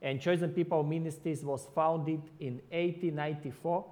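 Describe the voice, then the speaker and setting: Man preaching in halting English. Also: on the high side, fairly even intonation, a little mellow. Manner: halting non-native English with many restarts. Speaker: ministry worker. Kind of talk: sermon.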